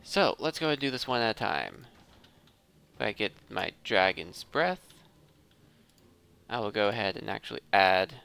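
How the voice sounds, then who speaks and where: Bright, animated man narrating his gameplay. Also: fairly low pitch, normal speed, creaky, long pauses, clear, resonant tone. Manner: thinking aloud, talking through each step. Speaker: host of a gaming video series. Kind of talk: casual gameplay commentary.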